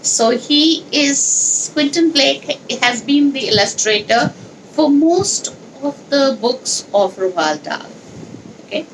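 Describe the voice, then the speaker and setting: A woman leading a children's read-along, speaking in an instructional, deliberate, explanatory way with pauses. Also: sits high, lively intonation, slight background noise, poor audio. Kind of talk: instructional talk and storybook read-aloud.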